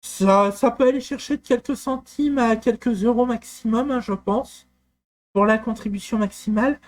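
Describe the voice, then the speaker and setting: A man from France talking through a software walkthrough, hesitant with frequent fillers and restarts. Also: very high voice, moderate pace. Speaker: software tutorial presenter. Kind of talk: screen-recorded walkthrough.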